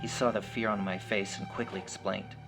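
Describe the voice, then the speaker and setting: A man's voice: on the low side, fairly even intonation, a little fast. A man reading a scripted first-person horror story as a storyteller. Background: background music.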